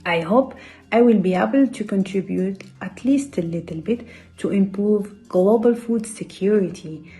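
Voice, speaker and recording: A woman's voice: mid-range voice, measured pace, dark tone, soft background music. A woman from Morocco with a Moroccan accent, giving a prepared award speech as a researcher. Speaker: postdoctoral researcher accepting an award.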